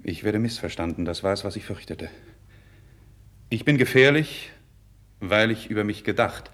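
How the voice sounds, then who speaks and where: A man's voice: fairly low pitch, animated, normal speed, fairly bright tone. An actor playing an idealistic, proud young nobleman in a classical radio drama, with earnest, declamatory, formal diction. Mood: earnest, restrained. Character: idealistic young nobleman, passionate, proud, candid before his king. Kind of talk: 1950s German radio drama, classical verse acting.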